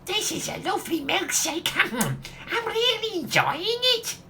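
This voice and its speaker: A woman's voice: very high voice, big pitch swings, unhurried, bright, forceful tone, heavily acted. A woman playing a giddy, tipsy holidaymaker in a comedy radio play, rambling on in a nosy, over-familiar way. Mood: tipsy delight. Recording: faint background noise.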